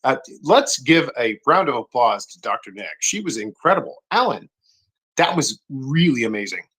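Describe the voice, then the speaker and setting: Man, bright forceful voice, wry, mock-formal jokes. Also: mid-range voice, very animated, moderate pace, slightly rough, low-quality recording. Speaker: speaker on a live audio space. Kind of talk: casual chat.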